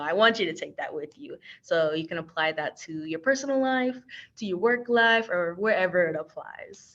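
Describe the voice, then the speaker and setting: An American woman with a slightly low voice leads an online workshop. She talks informally, with a playful, self-deprecating chattiness. The sound is a little dull, as on a video call. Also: a bit bright. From Texas, US. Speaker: workshop trainer and organization's communications coordinator. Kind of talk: casual online training presentation.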